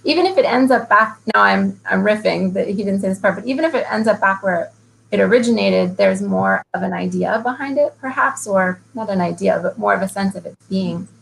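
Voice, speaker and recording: A woman's voice: mid-range voice, brisk, creaky, slight background noise, poor audio. A woman interviewing a fellow poet, thinking aloud, riffing and asking thoughtful questions. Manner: thoughtful, riffing, warmly admiring. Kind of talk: conversational interview.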